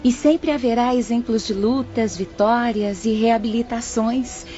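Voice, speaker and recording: A woman playing a respectful, devout young spirit in an audiobook drama, formal and deliberate. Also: fairly high pitch, steady tone, mellow, lightly acted. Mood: respectful, reverent. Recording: dull lo-fi audio with music. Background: music.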